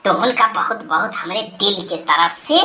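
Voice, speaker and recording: A woman's voice: medium pitch, expressive, normal speed, bright, forceful tone, reverberant, a little hiss or hum. A woman playing a sassy, pouty village gossip in a comic Awadhi cartoon, teasing and complaining in rustic chatter. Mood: delighted.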